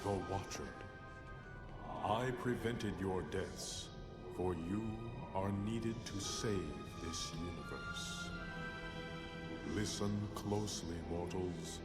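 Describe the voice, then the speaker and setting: An actor playing a solemn, all-seeing cosmic alien in a superhero game, portentous and deliberate, with long dramatic pauses. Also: male voice, deep voice. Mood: solemn, grave. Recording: echoing reverberant voice, loud music.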